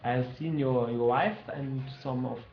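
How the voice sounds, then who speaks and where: A man co-hosting a stream, halting and full of fillers. His voice sounds roomy and echoey, and the audio is dull and lo-fi. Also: medium pitch. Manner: halting, fillers, laughs at himself. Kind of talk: casual chat.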